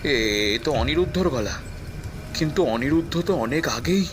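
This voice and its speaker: A man playing an anxious young village son in a horror cartoon, speaking fearfully and haltingly. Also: a little high, animated, pitched above the performer's own voice, close to an everyday voice. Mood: shocked. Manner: stammering, fearful. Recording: clear background rain noise.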